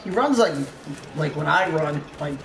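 Young dude bossing his buddy through the game, slightly high voice, roomy and noisy. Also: lively intonation, normal speed, gravelly. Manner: bossy coaching, teasing. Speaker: co-host gamer. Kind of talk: casual let's-play banter. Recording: roomy with noticeable noise.

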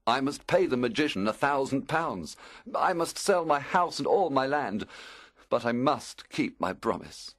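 A man playing an ardent young storybook lover, swooping pitch. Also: lively intonation, a little slow, a bit bright, dry recording. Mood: sorrowful. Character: lovesick, earnest young gentleman pleading in a storybook.

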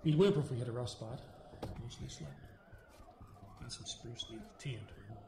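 A weathered male survivor voiced by an actor tells his grim tale in a radio horror play. His delivery is solemn and folksy, with halting, clipped sentences at a middling pitch. The room is echoey, with clear background noise. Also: natural voice. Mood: somber. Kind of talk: radio horror drama monologue.

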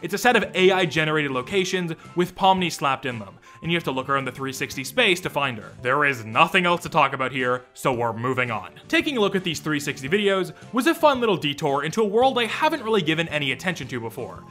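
Male YouTube commentator, higher-pitched and very expressive, wry and irreverent, reading a sarcastic script over music. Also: quick pace, hardly any pauses.

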